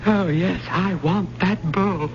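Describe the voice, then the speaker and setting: An actor playing a nervous, obsessive, genteel male poetry professor who stammers with fussy politeness in an old-time radio drama. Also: high voice. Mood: desperate, frantic. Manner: halting, stammering, fussily polite. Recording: muffled, noisy old radio recording.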